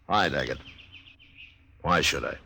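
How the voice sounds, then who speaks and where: An American actor playing a stern, weary, decent frontier marshal, terse and plainspoken, in a 1950s Western radio drama. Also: male voice, rumbling low, a little slow, gravelly, pushed and bright, a little hiss or hum, heavily acted. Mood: firm, frank. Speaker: lead character and narrator.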